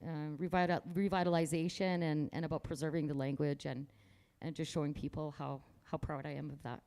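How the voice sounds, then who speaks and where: A woman's voice: low voice, little pitch movement, a little fast, darkish tone. A woman artist from rural Manitoba talking casually about her work in an interview, outspoken and proud, with frequent restarts. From rural Manitoba, Canada.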